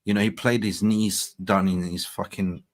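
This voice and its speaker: Swearing, excitable male football fan host. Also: low-pitched, normal speed. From Sweden. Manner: blunt and swearing, excitable when reacting to live scores. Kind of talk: casual post-match fan chat.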